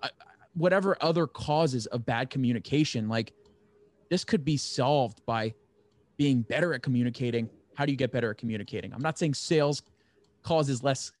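A man with a mid-pitched voice and a very dry, echo-free sound acts as interviewer. He chats casually, rambling and thinking out loud while working his way toward a question. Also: normal speed. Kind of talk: casual, loosely brainstormed interview chat.

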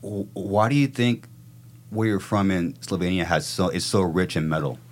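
A man with a deep, low voice hosts a casual podcast interview. He talks eagerly in a loose, slangy way and often trips over and repeats his words. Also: steady tone, normal speed, slight background noise. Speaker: podcast host.

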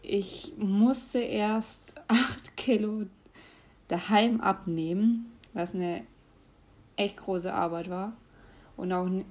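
A young woman, giving casual advice in a chatty way, often laughing and pausing with fillers. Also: mid-range voice, warm tone. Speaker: vlogger.

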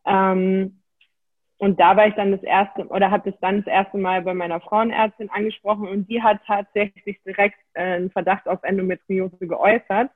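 A woman in her late twenties speaks as an interview guest, relaxed and hesitant, with frequent fillers. Her voice sits at a middle pitch. It comes through a narrow, tinny phone-like line. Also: moderate pace. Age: 20s. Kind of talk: casual interview talk.

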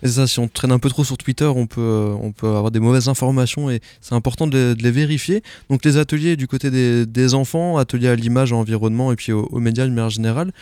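A man's voice: mid-range voice, fast talker, few pauses, dark tone, clear, resonant tone, no room sound. A man hosting a live radio interview in a studio, casual and informal. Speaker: radio host.

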